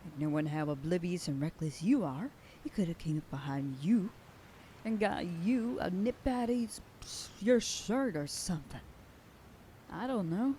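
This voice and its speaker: A stern, protective werewolf sheriff girlfriend, played by a woman, bossy and scolding. Her voice sits low and mellow. A faint hiss of noise lies under the recording. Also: animated, a little slow. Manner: scolding, bossy then whispering tenderly. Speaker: voice actor. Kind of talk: F4M ASMR roleplay.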